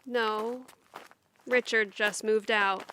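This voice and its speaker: An actress playing an energetic, gung-ho daughter in an audio comedy, with faint noise behind. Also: female voice, fairly high pitch, drawn-out words, heavily acted. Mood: insistent. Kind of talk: audio comedy drama acting.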